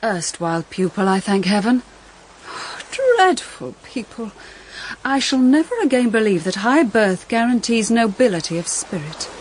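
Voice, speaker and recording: An actress playing a practical, outspoken middle-aged mother in a period drama, brisk and emphatic. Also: on the high side, very animated, slight background noise, rough recording. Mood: disdainful. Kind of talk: period audio drama acting.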